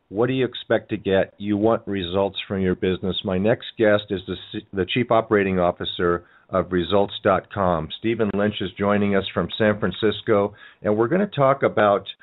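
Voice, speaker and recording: A man hosting a radio interview show, asking rambling questions that he often restarts. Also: fairly low pitch. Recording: tinny phone-like sound.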